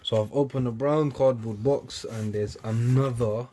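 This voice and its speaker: A young man, casually chatting and rambling through an unboxing on his vlog, often trailing off quietly between livelier bursts. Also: medium pitch, fairly even intonation, unhurried, a little hiss or hum. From United Kingdom. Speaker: sneaker YouTuber.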